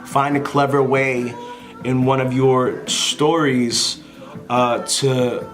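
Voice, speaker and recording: A man speaks casually to his camera with a mid-range voice and clear background music. He gives unscripted advice like a vlogger, wandering from point to point in an informal, apologetic way. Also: mid-range voice, steady tone, slow, a bit bright. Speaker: vlogger giving airline interview advice.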